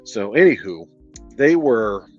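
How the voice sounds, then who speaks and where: Man, low voice, Midwestern American accent, sarcastic and profane, livestream book review, dull lo-fi audio, faint background music. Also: sing-song, slow, a little mellow. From Detroit area, United States. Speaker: streamer reviewing a novel.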